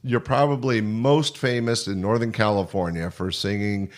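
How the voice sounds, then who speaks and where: An older man with a low-pitched voice hosts a conversational podcast interview. He talks earnestly and tends to ramble as he works his way toward a question. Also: steady tone, moderate pace. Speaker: podcast host.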